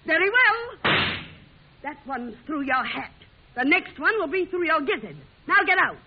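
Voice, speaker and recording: An actress playing a fiery, sharp-tongued frontier-bred woman newspaper publisher in an old-time radio drama. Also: sits high, very animated, unhurried, very noisy, exaggerated voice. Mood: indignant, defiant. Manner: sharp-tongued, indignant, defiant. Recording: muffled, lo-fi old radio recording.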